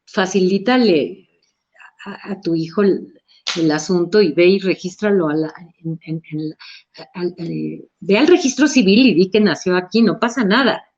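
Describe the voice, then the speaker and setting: A woman's voice: lowish voice, moderate pace, a little mellow. A middle-aged Mexican woman with a Mexican Spanish accent, speaking thoughtfully at a talk with hesitations and restarts, in a slightly muffled recording. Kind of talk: personal reflective talk.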